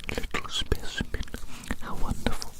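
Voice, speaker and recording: A person whispering breathily in an ASMR roleplay as a fussy, fascinated doctor, soothingly repeating short phrases. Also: moderate pace. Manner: soothing, repetitive, murmuring phrases over and over. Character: fussy, fascinated doctor studying a little creature. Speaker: ASMR performer playing a doctor measuring a specimen.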